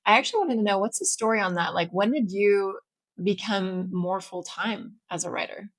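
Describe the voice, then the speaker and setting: A woman's voice: mid-range voice, moderate pace, no echo. A woman hosting an interview, who talks in a casual, upbeat way and affirms her guest often. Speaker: interview host.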